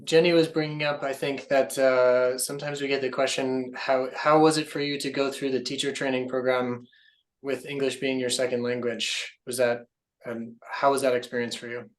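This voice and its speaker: A man's voice: medium pitch, little pitch movement, moderate pace. A man hosting a video call interview, asking friendly questions with short affirmations. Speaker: host.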